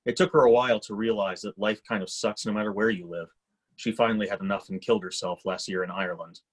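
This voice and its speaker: A man's voice: lowish voice, steady tone, fast, husky. A man acting a sceptical, blunt grown son in a staged screenplay reading, speaking in a dry, matter-of-fact way. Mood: dry, reflective.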